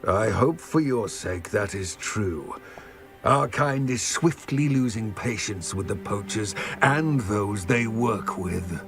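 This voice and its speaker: A man's voice: on the low side, animated, slow pace, music in the background. An actor playing a stern, suspicious male centaur in a video game, speaking in a formal, accusing manner. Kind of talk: video game cinematic acting.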